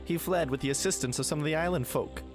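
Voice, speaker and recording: Knight captain, played by a man: fairly high voice, formal, grim, dutiful, fantasy drama with prominent music behind. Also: fast, close to an everyday voice. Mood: urgent, reporting. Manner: formal military report. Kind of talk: fantasy audio drama acting. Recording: loud music under the voice.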